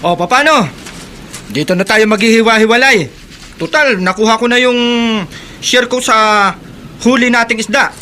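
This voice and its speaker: Radio drama: no-nonsense Filipino fisherman, very high sharp voice, slightly noisy recording. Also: moderate pace. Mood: brisk, assertive. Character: brisk, unsentimental fisherman friend, selling his catch.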